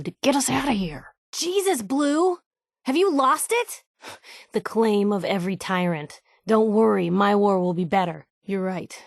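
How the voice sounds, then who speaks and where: A young woman with an American accent playing a plucky, wisecracking reporter companion in a video game, with a wry, expressive delivery. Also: medium pitch, no room sound, clean studio sound. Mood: earnest, grave, then appalled, pleading. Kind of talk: video game voice acting, in-character banter.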